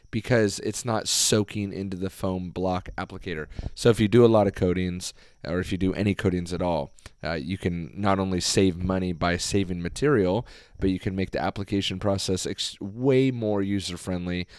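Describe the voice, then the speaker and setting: A man hosting a podcast, talking casually and hoarsely with his voice nearly gone, rambling with fillers and restarts. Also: deep voice, normal speed, darkish tone, dry recording, clean studio sound. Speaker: podcast host. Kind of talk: casual solo podcast talk.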